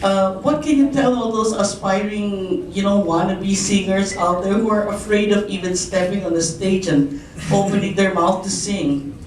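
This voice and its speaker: A woman's voice: medium pitch, normal speed, a little mellow. A woman reporter asking a singer questions politely at a press conference. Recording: very echoey distant room, faint noise.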